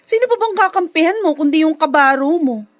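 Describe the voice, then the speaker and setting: A woman's voice: high voice, expressive, a little fast, no room sound. An actress playing a feisty, jealous young girlfriend in a radio drama, with a sassy, opinionated way of talking. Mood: teasing, threatening.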